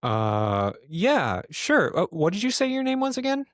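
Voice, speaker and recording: A man voicing a hesitant, guarded university librarian woman taking a phone call in a tabletop game, speaking in a halting, tentative way. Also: sits high, huge pitch swings, fairly bright tone, no room sound, clean studio sound, voice pushed up, heavily acted.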